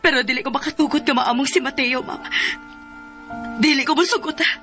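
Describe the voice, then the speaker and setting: A woman's voice: high voice, brisk, lightly acted. An actress playing a lovelorn, defiant young woman, a grown daughter, in a melodramatic radio drama. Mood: pained, defiant. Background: faint music.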